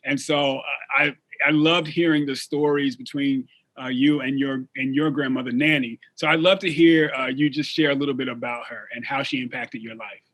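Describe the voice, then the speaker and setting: A man hosting a conversational interview, phrasing his questions in a halting, searching way. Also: medium pitch, steady tone, fairly bright tone, rough recording. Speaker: talk show host, interviewer.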